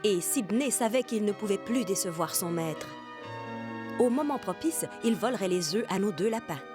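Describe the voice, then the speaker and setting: A woman's voice: mid-range voice, lively intonation, normal speed. A woman giving scripted storybook narration for a children's cartoon. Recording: loud music under the voice.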